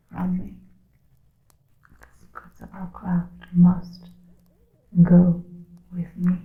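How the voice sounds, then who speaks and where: Slow whispering witch played by a woman, phone-like. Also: fairly low pitch, vocal fry, slight background noise. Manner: slow, halting, solemn phrasing. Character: solemn woman witch urgently counselling a fellow witch. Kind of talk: whispered ASMR roleplay. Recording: muffled phone-like lo-fi with echo.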